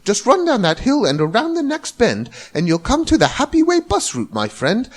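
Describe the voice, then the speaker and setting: A man voicing a bossy, smooth-talking older chap in a rhyming children's storybook, grandly reassuring and persuasive. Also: very high-pitched, huge pitch swings, moderate pace, pitched above the performer's own voice, heavily acted. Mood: breezy, reassuring.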